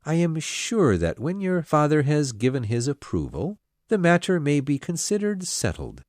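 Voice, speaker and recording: A woman voicing a pompous, self-satisfied young male clergyman who proposes, speaking in a slow, smug, insistent way. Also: sits low, big pitch swings, darkish tone, no room sound, clean studio sound. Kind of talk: storybook character voice.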